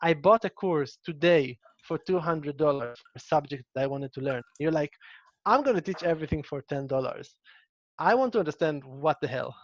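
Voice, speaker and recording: A man's voice: on the high side, animated, highs cut off. A man hosting an interview, a business owner talking casually with frequent restarts. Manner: rapid, restarts and repeats words.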